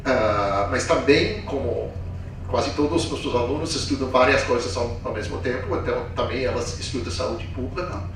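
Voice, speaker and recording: A man's voice: medium pitch, a bit bright. Echoey, distant man presenting informally. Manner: halting, with repeated tag questions. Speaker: professor presenting students. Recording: very echoey room, distant mic, faint noise.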